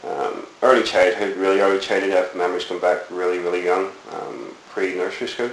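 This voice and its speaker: A man's voice: sits low, flat delivery, brisk. A middle-aged man from Northern Ireland with a Belfast accent, recalling his childhood in a reflective, rambling way with many fillers. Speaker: interviewee. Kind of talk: oral history interview. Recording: echoey room with faint hiss.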